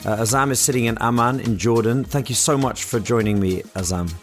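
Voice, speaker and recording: A man's voice: mid-range voice, brisk, soft background music. A British man hosting a podcast interview in a polite, conversational way with hesitant phrasing. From Britain.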